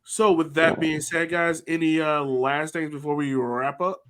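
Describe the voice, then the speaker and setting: A man with a fairly high voice hosting a podcast, talking in a loose, animated way. He exclaims and laughs easily as he moves the chat along. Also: unhurried, smooth. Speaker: podcast host. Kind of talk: casual chat.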